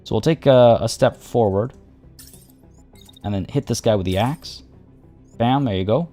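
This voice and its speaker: A man's voice: medium pitch, a little slow, dark tone, soft background music. A young man streaming a game from his home setup, his voice getting worn, rambling through casual commentary with lots of fillers and restarts.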